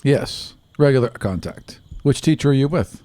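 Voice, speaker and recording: A man's voice: mid-range voice, animated, normal speed, mellow, dry recording, close to an everyday voice. A man playing a curt, suspicious warden with clipped, evasive answers in a tabletop fantasy game. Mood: guarded. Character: curt, suspicious male warden on night patrol.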